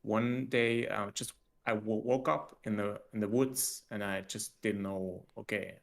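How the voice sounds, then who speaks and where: Low gravelly-voiced Swiss man. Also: fairly even intonation. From Switzerland. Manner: hesitant, halting with restarts and laughs. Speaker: game developer guest. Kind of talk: casual interview chat.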